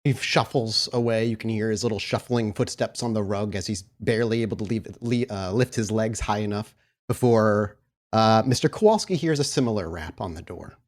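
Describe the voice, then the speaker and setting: A man narrating a tabletop roleplaying game as game master, setting scenes descriptively and somewhat haltingly. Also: fairly low pitch.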